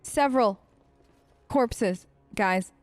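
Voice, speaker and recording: Female let's play host, high register, dry close mic, casual and playful, expressive commentary to herself and the game's characters. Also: extremely slow, no room sound, soft background music. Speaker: gaming video host. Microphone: close dry voice-over microphone.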